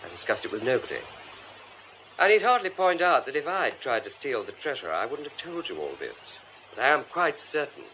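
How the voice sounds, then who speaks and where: A prim, defensive actor, muffled sound. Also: male voice, mid-range voice, sing-song, brisk. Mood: indignant. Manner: fussy, precise, emphatic. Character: prim, defensive male theatre actor, precise and self-justifying. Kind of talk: radio drama acting. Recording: muffled radio broadcast, faint noise.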